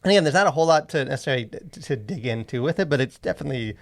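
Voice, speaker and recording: A male podcast host chats casually in a medium-pitched voice with noticeable vocal fry. His delivery rambles and stops and starts, padded with plenty of filler words as he works through each thought. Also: lively intonation, rapid-fire.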